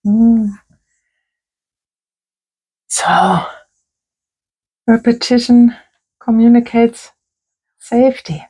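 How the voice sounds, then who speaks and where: A woman working as a creative therapist, talking gently and softly through a calm crafting tutorial. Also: on the high side, husky, rough recording. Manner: gentle, soft-spoken, unhurried.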